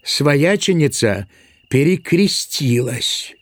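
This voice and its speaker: A man narrating a literary audio drama in a measured, expressive storytelling style. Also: fairly high pitch, dry recording.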